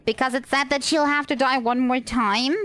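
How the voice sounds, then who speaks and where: Higher-voiced woman chatting through a game stream. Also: fairly even intonation, moderate pace, fairly bright tone, dry recording. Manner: musing aloud, chatting to game characters. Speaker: livestreamer. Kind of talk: casual game livestream commentary.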